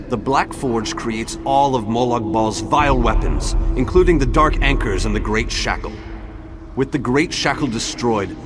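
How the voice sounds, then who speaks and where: Man playing a deep, pompous wizard. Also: noisy background, close to an everyday voice. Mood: smug, confident. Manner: grandiose, formal, theatrical. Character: pompous self-assured male great wizard and his magical essences. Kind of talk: video game character acting. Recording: loud music behind the voice.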